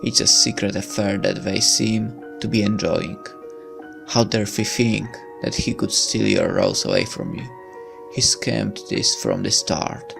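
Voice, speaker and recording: Low, gravelly man narrating a game. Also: animated, measured pace, music in the background. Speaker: let's player reading a visual novel aloud. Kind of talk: narration of game text with casual commentary.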